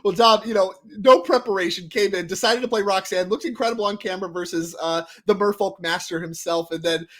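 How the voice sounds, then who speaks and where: High-voiced upbeat male host. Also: a bit bright. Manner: upbeat, congratulatory, quick to laugh. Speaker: event host and interviewer. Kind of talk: tournament stream interview.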